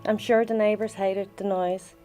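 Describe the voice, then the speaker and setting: A woman's voice: mid-range voice, little pitch movement, moderate pace, dark tone, faint music behind. A middle-aged woman with a Dublin Irish accent reads a personal memorial tribute in a plain-spoken, reminiscing way. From Dublin, Ireland. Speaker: bereaved sister giving a tribute.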